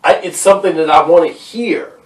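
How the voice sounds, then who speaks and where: A man's voice: on the high side, a little fast, distant mic. A man hosting a podcast, chatting casually and supportively, often saying "you know what I'm saying.". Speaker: podcast host. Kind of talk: casual chat. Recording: roomy, off-mic sound.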